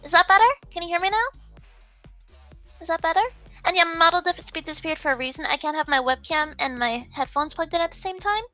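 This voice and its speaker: High, bright-voiced woman streaming casually. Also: fast talker. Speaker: live streamer. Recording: music playing behind the voice. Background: music.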